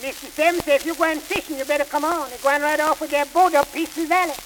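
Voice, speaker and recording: A man's voice: very high-pitched, steady tone, fast talker, a bit bright, low-quality recording. An actor playing a laconic janitor telling his side to a judge in a dry, drawling way. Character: wry Southern shop janitor, man, laconic. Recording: hissy old record.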